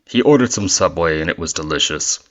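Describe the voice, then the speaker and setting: A man's voice: low voice, lively intonation, a little fast, muffled recording. A man reading scripted story narration for an audio drama.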